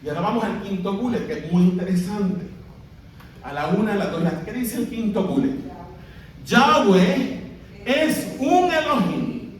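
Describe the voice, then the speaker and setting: A high-voiced Puerto Rican man preaches to his congregation, asking them questions and having them read along aloud. His voice comes from some distance away, in a church hall full of strong echo. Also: expressive, normal speed. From Puerto Rico. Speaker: pastor. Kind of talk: sermon.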